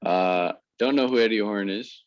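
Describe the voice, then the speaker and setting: A man from the United States with a lowish voice, speaking hesitantly with many fillers. He comes through a muffled, lo-fi remote line. He is a guest in a casual chat. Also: moderate pace. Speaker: podcast guest. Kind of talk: casual chat among fans.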